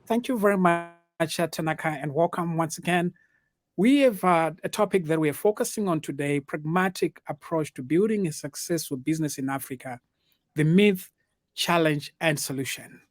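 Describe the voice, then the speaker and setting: A man's voice: a little high, normal speed, dry recording, clean studio sound. A man from Zimbabwe in his forties, moderating a business panel in a formal, welcoming way. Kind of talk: hosting a panel discussion.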